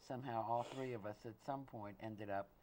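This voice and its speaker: A woman born in Austria hosting an interview, asking questions in a measured, earnest way. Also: a bass voice, steady tone, mellow, a little hiss or hum, poor audio. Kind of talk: community TV interview.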